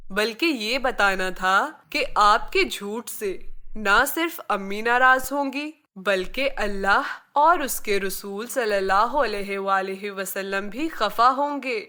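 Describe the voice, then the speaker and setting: Woman voicing a caring big brother, bright and slow. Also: mid-range voice. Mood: gently admonishing. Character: caring, gently admonishing older brother Arsalan, a boy. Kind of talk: children's moral story character voice.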